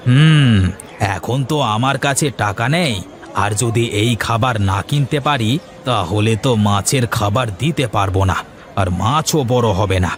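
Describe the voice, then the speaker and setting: A man's voice: medium pitch, moderate pace, lightly acted. A man voicing an anxious, eager village farmer husband in a moral-story cartoon, hesitant and repeating his agreeable words. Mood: worried.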